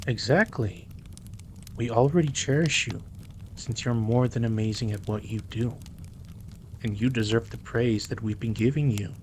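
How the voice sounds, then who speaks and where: A man's voice: medium pitch, moderate pace, a little mellow, slight background noise, close to an everyday voice. A man playing a dominant, teasing mafia boss in a roleplay audio drama, commanding and sometimes slipping into Spanish. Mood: soothing, coaxing. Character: dominant, teasing male mafia boss, indignant yet protective.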